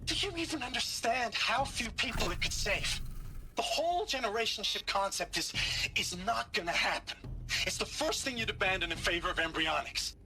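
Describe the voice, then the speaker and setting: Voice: medium pitch, lively intonation, normal speed, sharp tone, soft background music. An actor playing an agitated, skeptical engineer in a game, blunt, sarcastic and combative. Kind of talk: dramatic video game acting.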